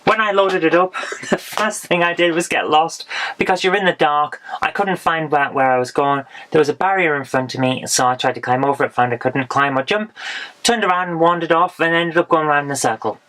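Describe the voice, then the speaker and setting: A woman, hoarse from losing her voice, chatting frankly through a haul of retro games with dry asides. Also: low-pitched, brisk, a bit bright. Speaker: retro game vlogger. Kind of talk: casual game haul review.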